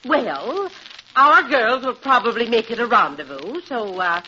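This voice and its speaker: An actress playing a prim, fussy and polite college dean in a vintage radio sitcom, speaking with genteel precision. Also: female voice, fairly high pitch, expressive, a little slow, pushed and bright. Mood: keen. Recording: muffled old radio recording, faint hiss.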